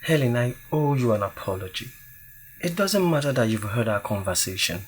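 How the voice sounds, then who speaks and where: A man's voice: mid-range voice, lively intonation, moderate pace, slight background noise, close to an everyday voice. A man acting a contrite young groomsman, earnest and formal, in an audio drama. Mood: apologetic.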